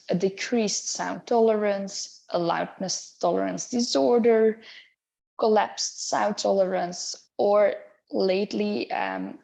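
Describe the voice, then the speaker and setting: Belgian woman with gravelly edges. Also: medium pitch, darkish tone, low-quality recording. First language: not English. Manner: measured, explanatory, occasional hesitations. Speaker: clinician presenting a webinar. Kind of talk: webinar presentation.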